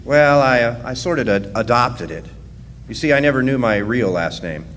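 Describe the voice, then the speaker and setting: A man acts out a plainspoken miner recounting events in a live radio play. His voice sits at a middle pitch, folksy and wry. It rings through a reverberant hall over faint noise. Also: expressive, fairly bright tone, lightly acted. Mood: hesitant, amiable. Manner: folksy, wry, deliberate storytelling. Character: plainspoken drifter miner looking back as the storyteller, wry. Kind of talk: live radio drama, folksy narration and dialogue. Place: live performance in a resort hall.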